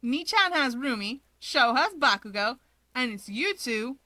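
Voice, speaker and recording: Woman voicing a high, boisterous, cheeky young man. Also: unhurried, no echo, full character voice. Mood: playful, insistent. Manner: excitable, emphatic, teasing. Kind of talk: expressive fan fiction character reading.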